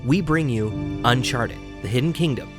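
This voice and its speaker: A man's voice: medium pitch, normal speed, over music. A man reading scripted, dramatic introductory narration as an announcer.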